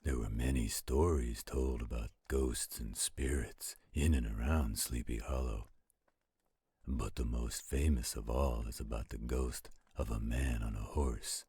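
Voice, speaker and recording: A man playing a gentle, protective werewolf in a roleplay audio, telling bedtime stories in a slow, intimate, caring way. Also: steady tone, dry recording, full character voice. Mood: soothing, storytelling. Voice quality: very deep, husky, gravelly growl. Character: gentle protective male werewolf, soothing storyteller to his partner.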